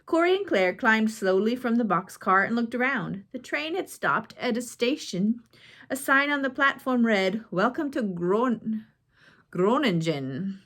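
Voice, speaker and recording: Woman, audiobook narrator, slightly high voice, scripted reading. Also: lively intonation, unhurried, a bit bright. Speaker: audiobook reader.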